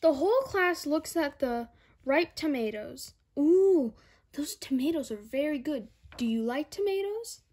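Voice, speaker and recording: Young girl, very slow pace, high voice, storybook read-aloud, careful word-by-word delivery. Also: animated, darkish tone. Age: child. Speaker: reader of a children's picture book. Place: indoor room at home.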